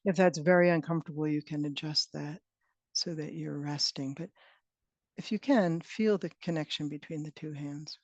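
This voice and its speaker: A woman's voice: low voice, no room sound. Woman guiding meditation, dull dry audio. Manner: gentle, unhurried phrasing with long pauses. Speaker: meditation teacher. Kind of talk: guided meditation. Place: online retreat session, close dry sound. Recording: dull, band-limited online call audio.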